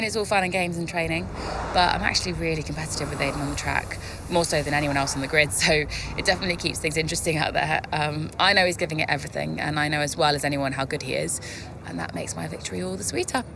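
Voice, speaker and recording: A woman's voice: low voice, fast, noisy background. A young woman playing a confident, competitive racing driver in a game, answering in an assured, earnest way. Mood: confident, upbeat. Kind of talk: acted media interview.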